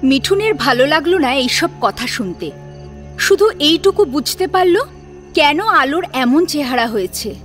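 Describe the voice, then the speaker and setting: Female audio-story narrator: high pitch, lively emotive delivery, music bed. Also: a little slow. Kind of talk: expressive dramatic audiobook narration.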